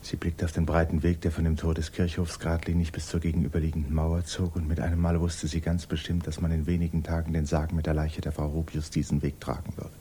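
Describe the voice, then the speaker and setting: An actor playing a grave, detached storyteller, narrating a 1950s German radio drama. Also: male voice, very deep voice, monotone, quick pace, few pauses, warm tone. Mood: grave, subdued. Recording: hissy 1950s radio recording.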